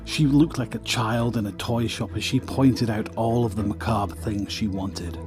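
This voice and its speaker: A man's voice: on the low side, steady tone, unhurried, over music. A man narrating a scripted story as the narrator of an audio drama.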